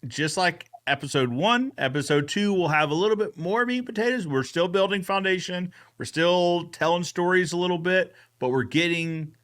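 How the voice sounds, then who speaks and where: A man's voice: high-pitched, normal speed, few pauses, fairly bright tone. A man hosting a podcast recap in a casual, enthusiastic chatting style. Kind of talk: casual chat.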